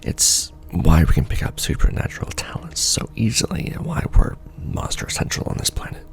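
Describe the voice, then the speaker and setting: A man performing an ASMR roleplay as a seasoned, wry secret-society official, talking softly and casually with a gravelly, breathy undertone. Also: rumbling low, animated. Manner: wry, casual, unhurried explaining. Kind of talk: ASMR roleplay monologue, soft conversational briefing.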